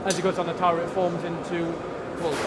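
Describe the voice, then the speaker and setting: A man showing a visitor around a factory, explaining the process in a matter-of-fact way with some halting restarts. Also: high-pitched, monotone. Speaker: factory guide. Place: large factory floor. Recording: noisy factory floor.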